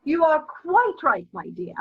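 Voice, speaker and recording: A woman's voice: high voice, very animated, full character voice. An actress playing a haughty elderly society mother in a comedy of manners, grand, plaintive and disapproving, on a muffled recording. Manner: grand, lamenting, drawn-out delivery. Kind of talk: stage comedy of manners, dramatic reading.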